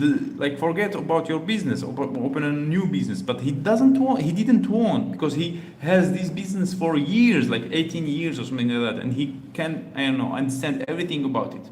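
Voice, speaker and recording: A man's voice: fairly high pitch, echoey room, a little hiss or hum. A man from Algeria hosting a reaction video, talking casually with many fillers and restarts.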